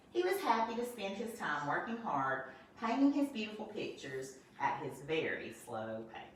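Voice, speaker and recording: An older woman, a first grade teacher, reading a storybook aloud to children with expressive asides, filmed at home on a phone. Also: fairly low pitch, a little hiss or hum. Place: home, with a small grandchild nearby. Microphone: phone camera held by her son. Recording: echoey room, voice from a distance.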